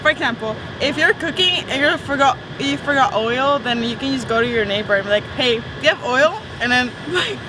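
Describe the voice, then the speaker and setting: A woman's voice: sits high, lively intonation, fairly quick, hardly any pauses. Giggly young woman. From El Salvador. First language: Spanish. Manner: chatty, giggly, many fillers. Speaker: interviewee. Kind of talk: casual street interview. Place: outdoors on a city street. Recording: street noise and traffic.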